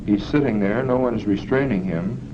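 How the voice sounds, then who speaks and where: A man's voice: on the low side, fairly quick. A man in a filmed test, describing pictures hesitantly and often restarting with "I mean". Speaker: test participant. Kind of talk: unscripted answers in an experiment. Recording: dull, hissy 1940s film soundtrack.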